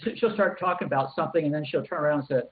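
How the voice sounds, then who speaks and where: A man with a somewhat high voice tells an anecdote in a relaxed way. The call audio is narrow and tinny. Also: racing. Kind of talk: casual video call chat.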